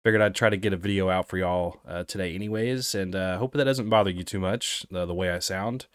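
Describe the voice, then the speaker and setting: A man with a lowish voice hosts a casual let's-play, his voice snuffly from a cold. He talks loosely and rambles, with frequent fillers and trailing asides. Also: brisk, clean tone, no echo, studio quality. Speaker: gaming channel host.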